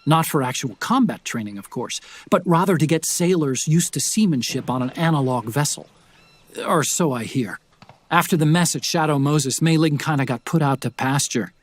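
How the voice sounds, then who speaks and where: A man plays a game hero's tech-minded support partner. His voice sits fairly high for a man, with a slight husk. He explains and briefs earnestly. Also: normal speed, no echo, natural voice. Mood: conversational. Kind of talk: video game cutscene acting.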